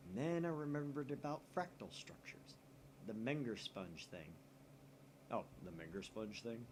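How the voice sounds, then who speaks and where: A man's voice: medium pitch, lively intonation, normal speed, slight background noise, lightly acted. A man reading the dialogue of bickering young male visual novel characters in a dry, argumentative manner. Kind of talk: dialogue read aloud.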